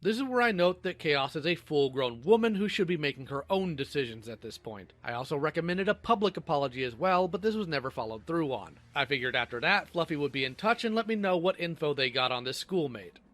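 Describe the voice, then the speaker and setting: A man's voice: a little high, expressive, a little fast, few pauses, fairly bright tone. A man's synthetic robot voice reading a scripted, sardonic narration. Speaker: video creator responding to allegations.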